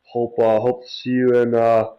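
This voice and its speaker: A man's voice: mid-range voice, completely flat delivery, moderate pace, clear, resonant tone. A young man leaving a casual voicemail as a caller to a podcast, on muffled lo-fi audio. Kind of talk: casual chat.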